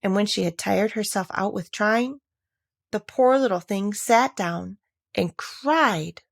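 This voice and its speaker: A woman reading a children's classic aloud as a storybook narrator, with expressive, deliberate emphasis. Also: mid-range voice, gravelly, no room sound, studio quality. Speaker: audiobook narrator.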